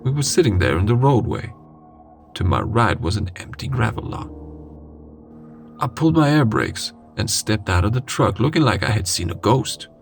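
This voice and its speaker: A man reading a scary first-person story in a dramatic, suspenseful way with deliberate pauses. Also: lowish voice, big pitch swings, vocal fry. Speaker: horror story narrator. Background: music.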